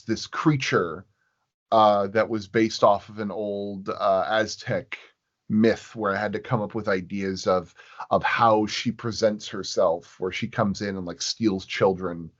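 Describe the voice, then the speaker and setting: A man chatting casually as an interview guest, explaining his work in a halting, rambling way. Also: on the low side. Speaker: sound designer, podcast guest. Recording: dull, lo-fi audio.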